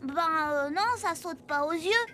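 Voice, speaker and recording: A woman's voice: extremely high pitch, a little slow, sharp tone, soft background music, heavily acted. A woman voicing a small, chatty, frank schoolgirl character in a French children's cartoon.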